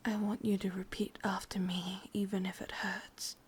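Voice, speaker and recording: A woman's voice: medium pitch, level tone, normal speed, slightly rough. A woman with an English accent playing a sadistic, possessive yandere witch in an ASMR roleplay, mostly whispering in a coaxing yet commanding way.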